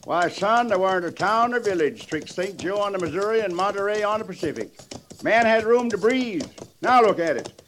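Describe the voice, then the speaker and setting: Old male western scout, American rustic drawl, homespun and opinionated, slightly high voice, noisy vintage radio sound. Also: expressive, normal speed, fairly bright tone. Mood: folksy, reminiscing. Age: older. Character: folksy old male frontier army scout.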